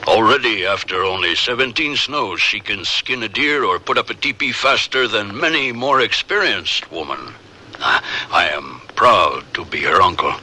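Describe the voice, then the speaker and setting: An actor playing a proud, teasing older Shoshone man in a radio drama, speaking in deliberate, formal phrases. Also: lowish voice, expressive, bright, forceful tone, full character voice.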